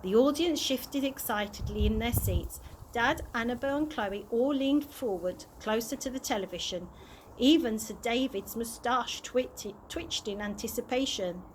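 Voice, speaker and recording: A woman's voice: on the high side, a little slow, slight background noise, poor audio. A woman reading a children's story aloud expressively, occasionally stumbling over words and correcting herself. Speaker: early years practitioner reading aloud.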